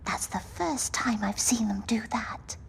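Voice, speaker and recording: A woman's voice: fairly high pitch, animated, measured pace, vocal fry, in a whisper, full character voice. A woman playing a young, caring, inquisitive adventurer's companion in an audio drama, eager and full of concern. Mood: curious. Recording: loud background noise.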